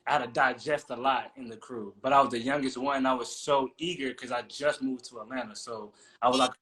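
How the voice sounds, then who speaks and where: A man's voice: medium pitch, steady tone, brisk, sharp tone. A man who is a guest on a casual interview, telling a story in a laid-back, slangy way.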